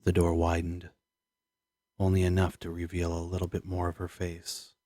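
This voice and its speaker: A man reading scripted first-person audio drama narration in a brooding, measured manner. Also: sits low, completely flat delivery, warm tone, no echo, studio quality.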